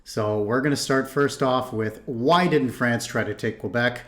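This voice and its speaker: A man from Ontario hosts a reaction video in an easy, conversational way. His voice sits at an ordinary middle pitch, and he often restarts sentences as he thinks aloud. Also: a little slow, a bit bright. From Canada (Ontario). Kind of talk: casual commentary.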